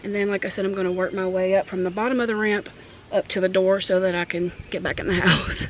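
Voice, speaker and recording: A woman's voice: mid-range voice, steady tone, fast, poor audio. A woman in her thirties chatting casually and informally to the camera as a home makeover vlogger, with a self-deprecating manner. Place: outdoors on a porch and wooden ramp. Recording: clear background noise, outdoor ambience.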